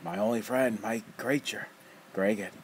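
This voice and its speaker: A man doing a gruff, mocking armless coffin maker. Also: fairly low pitch, unhurried, slight background noise, pitched above the performer's own voice. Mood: boastful. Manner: rough, taunting, bursts of laughter.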